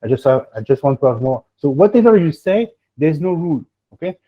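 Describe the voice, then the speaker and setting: A man's voice: a little high, animated, brisk. French-accented man on a tinny call. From France. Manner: rambling, peppers speech with "okay?" and "huh?". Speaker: admissions coach hosting a webinar. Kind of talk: advice in an online webinar. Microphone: video call. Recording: tinny phone-like video call audio.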